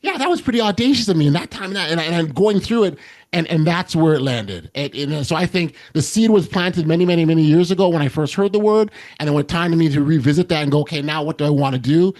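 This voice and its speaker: Middle-aged male podcast host, loud and earnest, slightly higher pitch, stumbles and restarts often. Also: lively intonation, quick pace. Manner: earnest, many restarts and repeated words. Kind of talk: casual interview chat.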